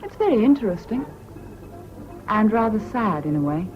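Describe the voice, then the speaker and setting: An actress playing a frank, bossy but affectionate young British woman in a radio drama, brisk and teasing. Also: mid-range voice, sing-song, soft background music, close to an everyday voice. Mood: wistful, candid. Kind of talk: BBC radio drama acting. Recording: dull lo-fi old radio broadcast, hissy.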